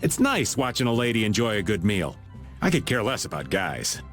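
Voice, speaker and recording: Teasing, lively-voiced roguish flirt. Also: male voice, on the low side, moderate pace, faint music behind. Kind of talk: English video game voice acting.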